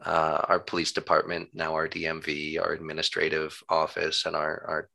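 A man with a deep, bright voice and plenty of vocal fry talks as an interview guest. He explains his work at length, in an upbeat and measured conversational way. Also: completely flat delivery. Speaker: interview guest, town government employee.

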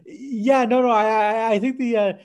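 A man in his fifties chatting casually on a podcast, halting and digressive, often interrupting himself with tangents. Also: very high-pitched, fairly even intonation, darkish tone. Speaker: podcast co-host. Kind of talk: casual podcast discussion of music history.

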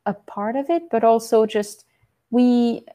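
Woman, researcher guest; higher voice, mellow tone; thoughtful, searches for words. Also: fairly even intonation, a little slow. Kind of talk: conversational podcast interview.

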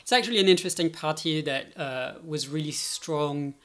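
A man's voice: a little high, normal speed, fairly bright tone. A man speaking as an expert guest in a conversational interview, thinking aloud and often checking with "right?". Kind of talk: conversational explanation in a podcast interview.

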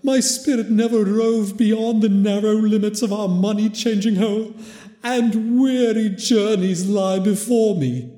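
A man's voice: high voice, unhurried, warm tone, voice pushed up. A man voicing the tormented, mournful ghost of a dead miser in a storybook reading, solemn, wailing and drawn out. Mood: anguished, weary. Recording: very echoey, long reverb.

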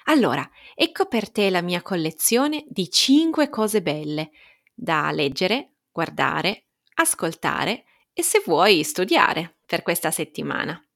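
Slow, expressive Italian woman reading aloud. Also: on the low side, gravelly. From Italy. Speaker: Italian language teacher and newsletter writer. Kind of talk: reading a personal newsletter aloud.

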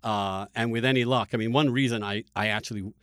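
A man hosting a conversational political discussion, speaking emphatically and haltingly with repeated words. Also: lowish voice, fairly even intonation, a bit bright, no room sound, studio quality. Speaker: podcast host and interviewer.

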